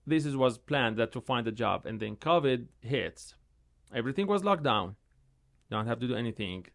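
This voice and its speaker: A man in his thirties, a real estate agent whose first language is Arabic, telling his story in a casual interview in halting, repetitive English that livens up when he tells a story. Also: mid-range voice, vocal fry. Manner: halting, repeats words, animated when telling stories.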